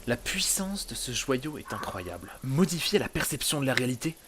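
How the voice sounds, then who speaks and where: An actor playing a cocky, blasé, ageless male adventurer with a deadpan, sardonic delivery in an audio drama. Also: medium pitch, moderate pace, crowd noise, lightly acted.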